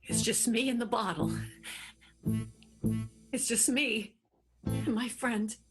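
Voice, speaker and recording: A woman's voice: on the high side, takes it slowly, music in the background. A woman playing a brooding, murderous stage manager in an improvised radio soap, with an ominous, dramatic delivery. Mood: brooding, sinister.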